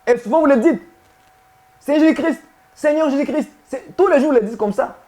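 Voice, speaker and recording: A man's voice: very high-pitched, brisk, darkish tone. A man preaching a sermon, emphatic and repetitive, often putting questions to his listeners. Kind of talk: sermon teaching to viewers.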